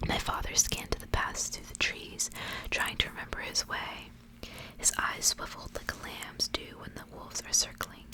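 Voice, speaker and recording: A woman softly whispering in an ASMR style, reading aloud in a gentle, measured way. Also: rough recording. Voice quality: soft unvoiced whisper.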